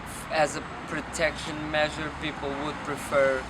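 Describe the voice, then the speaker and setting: A man's voice: fairly high pitch, little pitch movement. A man rambling philosophically off the cuff, searching for words with hesitations and some swearing. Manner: halting, searching, profane. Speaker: documentary film subject. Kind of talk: unscripted rambling philosophical musing. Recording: noisy outdoor street with traffic.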